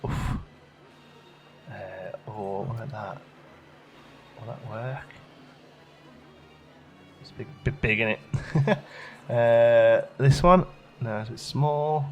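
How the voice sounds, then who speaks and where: A man's voice: mid-range voice, slow pace, long pauses, lo-fi sound, faint music behind. A man giving casual gameplay commentary, chatting and musing aloud as he questions his own choices, as a let's play host.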